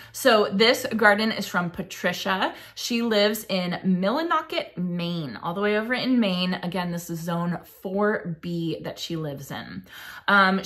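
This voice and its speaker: Enthusiastic woman host, somewhat low voice. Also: fairly bright tone. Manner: gushing, enthusiastic, exclamations with rising pitch. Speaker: gardening channel host. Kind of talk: casual chat reading viewer submissions. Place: home office, indoors.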